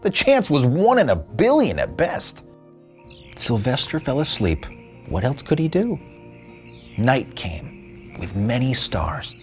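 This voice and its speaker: Male storybook narrator, expressive and theatrical, very wide pitch swings, faint music underneath. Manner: theatrical, dramatic phrasing and pauses. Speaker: storybook reader.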